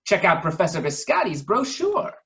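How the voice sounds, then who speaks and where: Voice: mid-range voice, wildly swooping pitch, normal speed, a bit bright, voice pushed up. A children's book author voicing a haughty male waffle baron with a monocle, over a muffled lo-fi stream.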